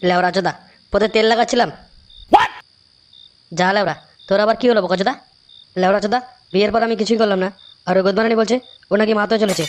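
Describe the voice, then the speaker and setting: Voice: medium pitch, noisy background, close to an everyday voice. A performer voicing a foul-mouthed, irritable young male cartoon buddy in a comic dub. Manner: crude, swearing, brash.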